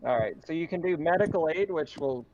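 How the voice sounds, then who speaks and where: A man's voice: on the high side, expressive, brisk, background noise. Man running a game, dull lo-fi sound. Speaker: game master. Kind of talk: narrating a tabletop roleplaying game, casual.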